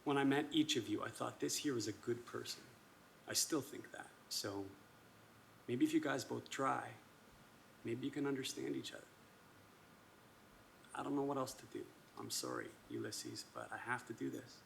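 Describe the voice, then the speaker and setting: A man's voice: mid-range voice, very animated, normal speed, vocal fry, long pauses, fairly bright tone, exaggerated voice. A man acting a hesitant, self-doubting army officer who speaks casually and ramblingly in a naturalistic stage play. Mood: earnest, unsure. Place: indoor performance space. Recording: live stage video with background noise.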